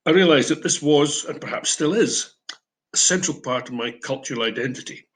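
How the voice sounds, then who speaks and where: A man in his seventies with a Scottish accent, a retired English teacher giving a reflective prepared talk with gentle humour. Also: on the high side. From Scotland. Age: 70s.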